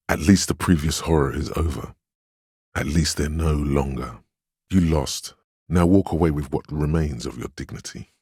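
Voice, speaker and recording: An actor playing the inner voice of a detective's willpower in a video game, steadfast, protective and wry, speaking in a measured, calmly commanding way. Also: male voice, very deep voice, breathy edge, no echo, studio quality, exaggerated voice. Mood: firm, calming. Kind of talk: scripted game dialogue, second-person inner monologue.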